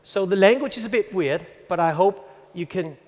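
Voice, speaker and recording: A man in his fifties with a Danish accent speaks to a conference audience in a high voice. He tells anecdotes and reads text aloud with deliberate pauses, and the sound rings with the long reverb of a large hall. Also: darkish tone. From Denmark. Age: 50s. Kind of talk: presentation to an audience.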